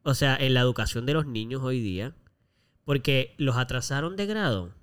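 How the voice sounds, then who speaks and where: A man's voice: mid-range voice, expressive, moderate pace, clear, resonant tone. A man with a Puerto Rican accent co-hosting a casual podcast, speaking informally and mixing English words into his Spanish. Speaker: podcast co-host.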